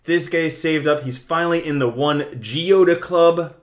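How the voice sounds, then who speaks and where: A young man hosting a casual video, talking through his screen in a chatty, jokey way with big swings in loudness. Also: a little high, a little slow. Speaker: crypto video host. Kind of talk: casual screen-share commentary.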